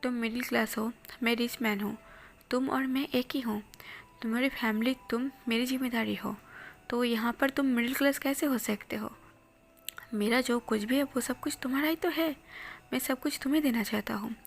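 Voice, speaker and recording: A woman's voice: fairly high pitch, steady tone, normal speed, vocal fry, a little hiss or hum. A woman whispering the lines of a possessive, jealous rich young man in a storybook tale. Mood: soothing. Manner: soft whispering, pleading then menacing.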